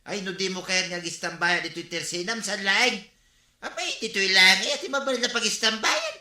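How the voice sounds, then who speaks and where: A man's voice: high-pitched, big pitch swings, moderate pace, pushed and bright, roomy sound, full character voice. A man with an Ilocano accent playing a lazy, grumbling idle village husband who whines and argues in a radio comedy drama. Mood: idle, defensive.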